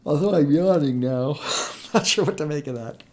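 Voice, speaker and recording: A woman's voice: low voice, normal speed, a little mellow. A woman, chatting casually with her partner as a podcast co-host. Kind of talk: casual chat between a couple. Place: at home in self-isolation.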